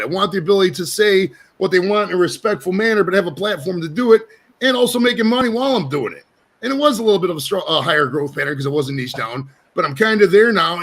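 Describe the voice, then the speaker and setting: A man's voice: on the high side, a bit bright. A man in his forties hosting a casual podcast from his home studio, rambling and swearing freely as he talks. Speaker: podcast host. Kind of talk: casual chat.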